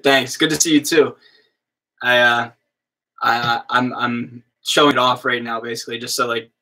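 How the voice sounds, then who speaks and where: A young man from the US East Coast with a slow drawl, chatting casually with viewers on his livestream and padding his talk with fillers. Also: mid-range voice, pushed and bright, low-quality recording. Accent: American East Coast. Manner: slow drawl, lots of fillers like "you know what I mean". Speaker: livestream host, musician.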